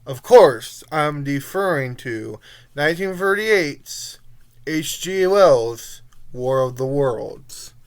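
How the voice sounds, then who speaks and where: Slow, swooping young adult reviewer, casual and rambling. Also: mid-range voice, expressive, clear, resonant tone. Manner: rambling, informal, halting with trailing thoughts. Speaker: amateur reviewer.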